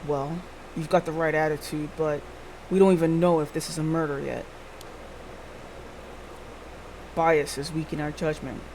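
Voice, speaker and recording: A woman voicing a tired, skeptical senior police boss in a visual novel, curt, gruff and grumbling. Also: deep voice, fairly even intonation, a little fast, darkish tone, slight background noise, pitched below the performer's own voice, poor audio. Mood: stern.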